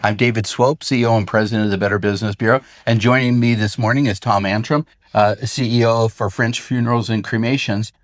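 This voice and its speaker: A man's voice: fairly low pitch, fairly even intonation, fairly quick, hardly any pauses. A man hosting a business interview, friendly and hesitant, with many fillers. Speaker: interview host. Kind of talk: casual interview talk.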